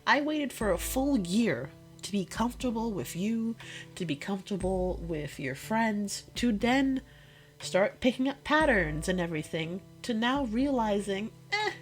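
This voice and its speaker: A woman playing a hurt, exasperated girlfriend confronting her boyfriend in an intimate one-sided audio roleplay, speaking emphatically and haltingly and repeating herself. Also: mid-range voice, expressive, faint music behind, natural voice. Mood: hurt, insistent.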